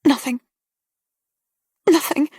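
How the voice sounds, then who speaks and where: A woman's voice: slow, a little mellow, dry recording, studio quality, close to an everyday voice. A woman voicing a distressed young lady who trembles, pleads and sometimes drops to a whisper. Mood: tearful. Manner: breathless, pleading, breaking into whispers.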